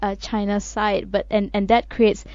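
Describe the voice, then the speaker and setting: A woman's voice: mid-range voice, little pitch movement, darkish tone, no room sound, dull audio. Hesitant woman discussing current affairs. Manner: thoughtful, hesitant with frequent "uh" pauses. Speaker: radio show co-host.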